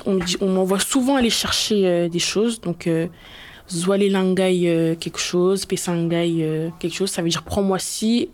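A woman's voice: fairly low pitch, darkish tone. A woman talking casually about herself, in an informal personal testimony.

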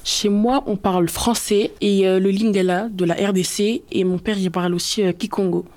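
Woman chatting about her own life, informal, mid pitch. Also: fairly even intonation, moderate pace, a little mellow. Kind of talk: casual personal testimony.

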